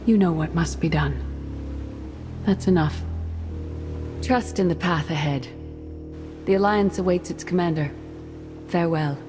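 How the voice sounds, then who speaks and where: A lowish, solemn voice of a wise older female mystic acted by a woman, set over clear music. She is composed, stern and cryptic, in dramatic game style. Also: unhurried, long pauses, lightly acted. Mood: calm, solemn. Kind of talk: dramatic video game voice acting. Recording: music behind the voice.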